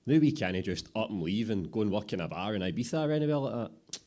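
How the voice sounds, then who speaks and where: A man with a Scottish accent voicing a cheeky young apprentice joiner, lively and defensive. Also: fairly low pitch, fast talker, voice pushed up, lightly acted.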